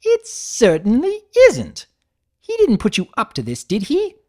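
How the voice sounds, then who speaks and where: A prim, dramatic female unicorn seamstress, as a woman reader puts her on. Her voice climbs high and swoops widely as she lays emphasis on every refined phrase. It is a storybook character voice with lively, sweeping inflection. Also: measured pace, exaggerated voice. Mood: indignant. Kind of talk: dramatic audiobook reading.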